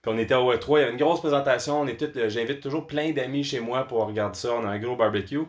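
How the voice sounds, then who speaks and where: A man's voice: medium pitch, quick pace. Quebecois guy hosting a gaming podcast, super informal slangy chat. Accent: Quebec French. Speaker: podcast host.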